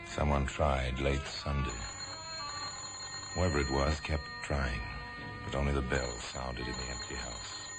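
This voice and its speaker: A man narrating a radio drama in a measured, ominous way. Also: rumbling low, little pitch movement, loud music behind the voice. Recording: hissy old radio broadcast.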